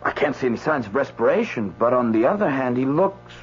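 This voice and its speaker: Man playing a jittery young doctor, very animated. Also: medium pitch, fast, a little hiss or hum, close to an everyday voice. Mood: puzzled, unsettled. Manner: jittery, hesitant, repeats himself. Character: flustered young male doctor, new medical examiner. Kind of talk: radio drama acting. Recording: muffled radio recording, faint music.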